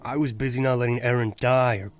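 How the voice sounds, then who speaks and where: A man's voice: medium pitch, steady tone, fairly quick, close to an everyday voice. A man playing a sarcastic, bantering young survivor of a zombie apocalypse in a fan audio drama. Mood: teasing.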